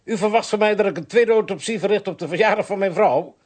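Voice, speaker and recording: An actor playing a put-upon, sardonic pathologist in a radio play, peevish and precise. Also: male voice, high-pitched, fast talker, darkish tone. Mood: irritated, sarcastic. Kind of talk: Dutch radio play acting.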